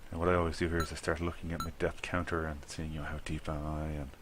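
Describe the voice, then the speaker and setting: A man's voice: extremely low pitch, level tone, fast talker, noisy background. An Irish man talking casually to himself while playing a video game, rambling and chuckling at his own mistakes. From Ireland. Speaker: gaming video creator. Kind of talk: casual gameplay commentary.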